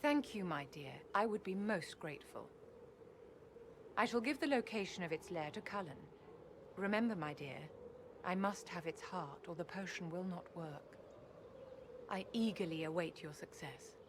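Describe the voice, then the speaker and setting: A woman's voice: lowish voice, lively intonation, moderate pace, noisy background, close to an everyday voice. An actress playing a refined noblewoman in a video game who speaks formally and graciously. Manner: gracious, formal, calls people "my dear". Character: refined noblewoman seeking a wyvern's heart.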